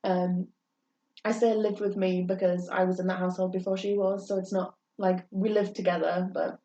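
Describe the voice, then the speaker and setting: A woman's voice: on the low side, little pitch movement, normal speed, dark tone. Earnest young woman, heard from afar. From England. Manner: earnest, rambling, frequent hesitations. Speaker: podcast co-host. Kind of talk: candid personal chat. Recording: roomy, distant, dull with faint hiss.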